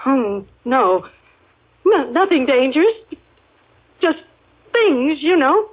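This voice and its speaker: Dull vintage radio sound carries an anxious mother. She speaks high and slowly, halting and evasive as if hiding dread. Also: sing-song, full character voice. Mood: nervous, evasive. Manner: hesitant, halting, faltering. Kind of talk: 1950s radio drama acting.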